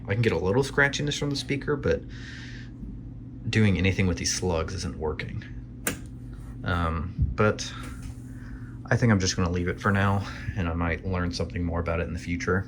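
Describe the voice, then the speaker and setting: A man narrating a casual hands-on restoration vlog as he works in a small workshop. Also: low-pitched. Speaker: video host and radio restorer. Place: tiny home workshop. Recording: clear background noise.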